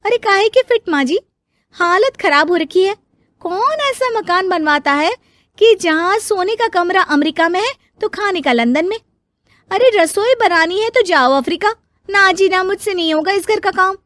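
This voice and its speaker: Woman acting high-voiced young Indian housewife, exclaiming. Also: lively intonation, moderate pace, fairly bright tone, no room sound, clean studio sound. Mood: exasperated, defiant. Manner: exclaiming, complaining, emphatic. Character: young daughter-in-law, dutiful turned whining and defiant.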